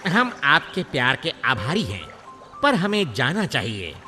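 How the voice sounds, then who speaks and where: A man voicing a clever, courteous heron who leads his flock in a children's animated story. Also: medium pitch, a little slow, exaggerated voice. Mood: polite, reluctant. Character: clever, polite male heron flock leader. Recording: long reverb, music behind.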